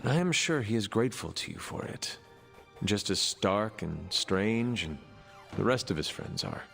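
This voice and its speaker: An actor playing a formal, old-fashioned young man in a video game, the son of a demon queen, with a gravelly voice. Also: lowish voice, animated, measured pace, a bit bright, soft background music, exaggerated voice. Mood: calm. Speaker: game character. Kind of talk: video game cutscene acting.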